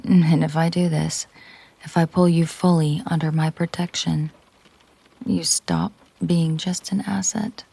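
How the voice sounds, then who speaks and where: A woman playing a possessive, menacing mafia boss girlfriend in an intimate audio roleplay, speaking in a controlled, hushed, deliberate way with a breathy, husky voice. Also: low-pitched, full character voice. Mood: protective, commanding. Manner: slow, deliberate, hushed, often breathy near-whisper.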